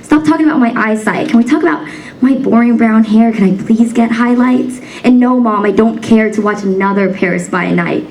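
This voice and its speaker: A woman's voice: fairly high pitch, normal speed, darkish tone, far from the mic, slight background noise, rough recording. A young woman from the United States performing a spoken word poem in a rhythmic, rhyming cadence, emphatic and defiant. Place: banquet hall stage.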